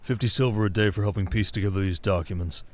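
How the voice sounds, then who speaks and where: A man playing a shrewd, wary merchant baron in an audio roleplay, speaking in a measured, dry, guarded way. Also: lowish voice, close to an everyday voice. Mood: urgent, commanding. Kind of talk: ASMR audio roleplay acting.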